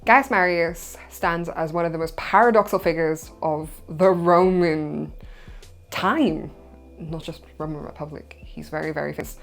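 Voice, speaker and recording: Chatty Welsh woman, lowish voice. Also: measured pace, faint music behind. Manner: chatty and informal, with loose asides. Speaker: history channel host. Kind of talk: casual educational monologue.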